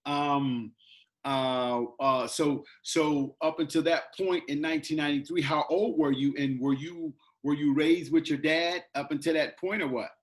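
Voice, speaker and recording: A middle-aged man hosting a casual interview, talking haltingly with many fillers. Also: on the high side, fairly even intonation. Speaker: interview host.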